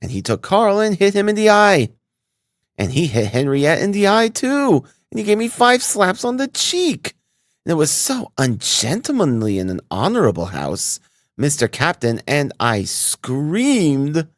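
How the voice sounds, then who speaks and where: A man's voice: on the high side, huge pitch swings, unhurried, no room sound, clean studio sound, pitched above the performer's own voice. A man voicing a fawning, indignant landlady with a German accent who patters on. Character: fawning middle-aged German landlady, chattering.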